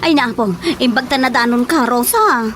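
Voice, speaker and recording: A woman acting as an excitable older neighbor in a radio comedy drama, exclaiming and hemming, with an Ilocano accent. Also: a little high, moderate pace. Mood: excited, chatty. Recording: radio drama with faint music behind.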